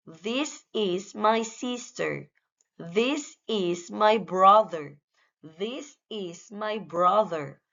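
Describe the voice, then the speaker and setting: A woman with a mid-pitched voice reads out a beginner language lesson for small children. She speaks extremely slowly and deliberately, in short, simple, instructional phrases. The recording is dull and lo-fi. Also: fairly bright tone. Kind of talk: scripted English lesson for young children, short phrases read out for repetition. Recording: dull, lo-fi sound.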